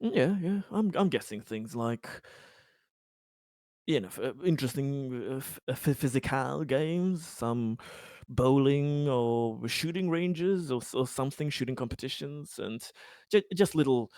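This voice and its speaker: A courteous colony councilman, played by a man in a tabletop roleplay, eager to please. He talks haltingly and politely in a mid-pitched voice, rambling and repeating words, recorded close and dry. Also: animated, a little mellow, no echo. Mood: polite, welcoming. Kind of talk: improvised in-character tabletop dialogue.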